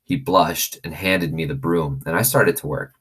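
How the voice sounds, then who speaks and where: A man reading a children's novel aloud as an expressive storyteller. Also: a bass voice, moderate pace, breathy edge.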